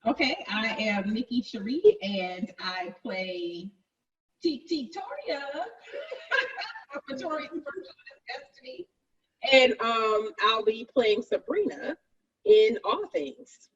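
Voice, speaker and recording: A woman's voice: fairly high pitch, sing-song, slow pace. A woman chatting casually as a cast member on a group video call. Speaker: voice actor. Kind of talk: casual chat. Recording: distant, dull, noisy video call.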